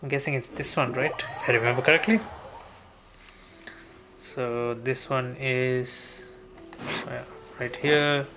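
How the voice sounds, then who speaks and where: A man's voice: mid-range voice, steady tone, slow pace, long pauses, noisy background. A man streaming a game, thinking aloud in a casual, hesitant way as he talks through his route. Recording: game music behind voice. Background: video game music.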